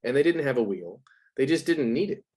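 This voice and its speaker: A man's voice: medium pitch, lively intonation, fairly quick, darkish tone. A man talking as an interview guest, explaining ideas in a casual, conversational way.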